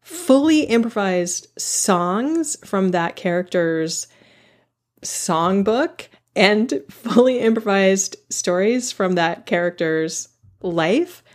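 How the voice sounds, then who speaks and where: A woman's voice: mid-range voice, animated, takes it slowly. A woman hosting a podcast, talking in a casual, enthusiastic way and laughing often. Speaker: podcast host.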